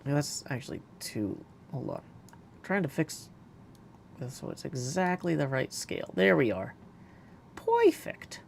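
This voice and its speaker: A man's voice: fairly high pitch, sing-song, measured pace, gravelly, pauses often, a little hiss or hum. A man livestreaming a game in a casual, easygoing way, often thinking aloud as he goes. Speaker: game streamer.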